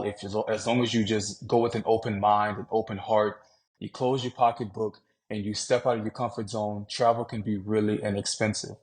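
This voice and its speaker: Easygoing man from Louisiana, laid-back talk. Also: lowish voice. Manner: relaxed, conversational, fillers like "man" and "you know". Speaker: podcast guest, traveler. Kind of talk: casual interview talk.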